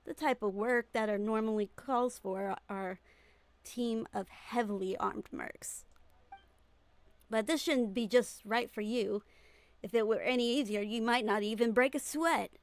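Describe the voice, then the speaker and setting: A woman's voice: a little high, measured pace, dry recording. Confident, breezy young mercenary played by a woman. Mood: upbeat, businesslike. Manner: breezy, persuasive, informal. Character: confident, easygoing young female desert mercenary. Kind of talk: fan-dub game character dialogue. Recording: music behind the voice.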